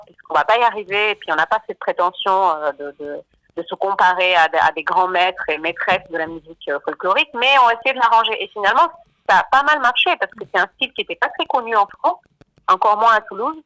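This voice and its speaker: A woman's voice: medium pitch, fast, pushed and bright, poor audio. A woman from Argentina, singer and songwriter of a band, talking as a radio interview guest, hesitant with many fillers and repeated words. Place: radio studio.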